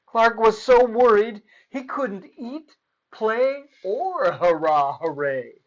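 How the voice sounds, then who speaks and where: A man's voice: high voice, lively intonation, slow, dull audio. A man presenting and reading aloud for children as a storyteller, playful and theatrical.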